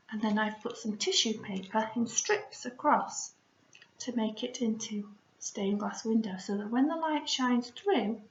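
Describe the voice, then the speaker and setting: A woman primary school teacher talking to young children in an encouraging, expressive storytelling style. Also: a little high, a little hiss or hum. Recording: roomy, slightly dull home recording.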